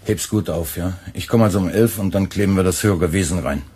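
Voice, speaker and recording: An actor playing a radio editor in a radio drama, polite, dry and precise with a needling edge. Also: male voice, sits low, brisk, warm tone, reverberant, far from the mic, close to an everyday voice. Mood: curt, weary.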